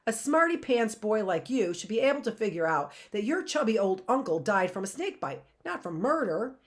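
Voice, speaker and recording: A woman's voice: mid-range voice, very animated, normal speed, close to an everyday voice. A woman reading aloud, voicing a scheming, menacing man who mocks and snarls at children. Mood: smug. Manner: snarling, mocking.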